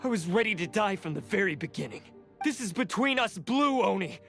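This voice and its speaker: Proud, high-pitched, anguished oni. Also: male voice, expressive, a little fast, creaky, fairly bright tone, full character voice. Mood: bitter, resolved. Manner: intense, bitter, dramatic. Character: proud, guilt-ridden blue oni man, grimly self-sacrificing. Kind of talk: dramatic game voice acting. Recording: background music under the voice.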